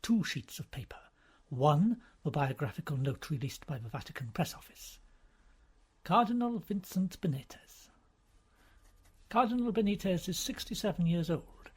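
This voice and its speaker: A man reading a novel aloud as scripted narration, in a measured, formal storytelling manner. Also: a little high, lively intonation, darkish tone, husky. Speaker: audiobook reader.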